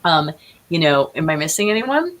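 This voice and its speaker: Low-voiced woman, halting and enthusiastic. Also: big pitch swings, fairly bright tone. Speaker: guest playwright. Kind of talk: casual interview chat.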